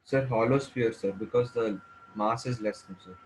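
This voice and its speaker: A man's voice: lowish voice, moderate pace, background noise. A man answering his tutor deferentially and calling him sir. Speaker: student.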